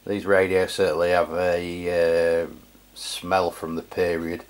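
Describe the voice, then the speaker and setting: A middle-aged Englishman with a low-pitched voice talks through a piece of equipment. He is informal and matter-of-fact, in the casual style of a hands-on video review. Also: monotone, takes it slowly. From England. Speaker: radio review channel host.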